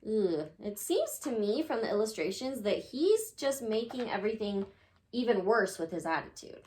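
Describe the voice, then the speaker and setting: A woman's voice: medium pitch, big pitch swings, normal speed, darkish tone, a little hiss or hum. A woman reading a picture book aloud to children, with dramatic emphasis on key words.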